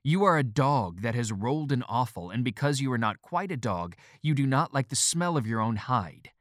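A man voicing the story's first-person character, passionately earnest and turning shrill as he scolds. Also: lively intonation, normal speed, dry recording, clean studio sound, close to an everyday voice. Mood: stern rebuke. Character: earnest, impassioned young male narrator-character.

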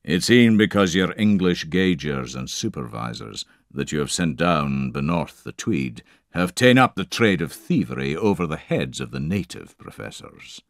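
A man doing a deep-voiced Scottish cattle trader. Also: big pitch swings, vocal fry, dry recording, studio quality, exaggerated voice. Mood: dry, sententious. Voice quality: strong deep voice, slow pedantic delivery.

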